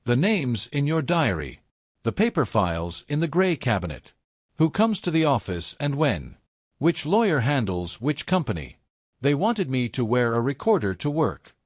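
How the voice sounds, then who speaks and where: A man voicing a loyal female secretary in an audiobook, low and steady under strain. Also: moderate pace, no room sound, clean studio sound. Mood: strained confession. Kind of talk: audiobook character dialogue.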